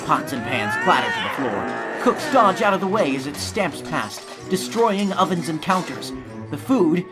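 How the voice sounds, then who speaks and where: A man narrating a scripted audio drama in a dramatic storytelling style. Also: mid-range voice. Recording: music behind the narration.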